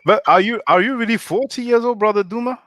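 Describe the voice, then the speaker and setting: A man's voice: sits high, fast, fairly bright tone, dry recording, clean studio sound. A man in his late thirties calling in, friendly and stumbling a little over his words. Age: 30s. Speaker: caller. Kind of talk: casual call-in chat.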